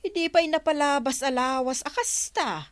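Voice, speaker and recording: A woman's voice: high-pitched, normal speed, natural voice. A woman playing an inquisitive elderly village grandmother, exclaiming and probing with an Ilocano accent in a radio drama. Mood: dismayed. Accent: Ilocano, northern Philippines.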